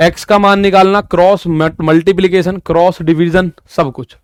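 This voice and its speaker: Young male maths tutor, high voice, dry close sound, repeats key points, talks straight to students. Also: steady tone, moderate pace, clear, resonant tone, no room sound. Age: 20s. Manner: repetitive, addresses students directly with "ठीक है" and "भाई"; explains with everyday examples. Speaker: maths teacher. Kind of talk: exam-prep maths lesson.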